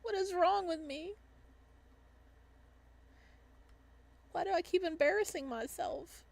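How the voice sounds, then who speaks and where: A woman's voice: very high voice, normal speed, gravelly, darkish tone, pitched above the performer's own voice. A woman voicing a nervous, lovestruck young man in a visual novel, flustered and eager. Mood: embarrassed.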